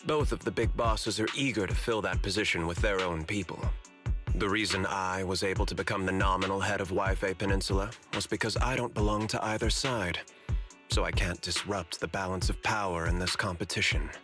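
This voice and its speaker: An actor playing a candid, politely ambitious corporate security chief in a video game, speaking in an articulate, confiding way. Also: male voice, deep voice, steady tone, fairly quick, lightly acted. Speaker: corporate manager character. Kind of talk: video game story dialogue. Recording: music behind the voice.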